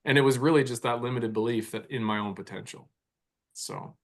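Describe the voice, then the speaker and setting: A man's voice: medium pitch, fairly quick, creaky. A man from Canada in his forties, a mindset coach speaking as a podcast guest in a reflective way, often repeating words as he thinks. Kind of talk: conversational podcast interview.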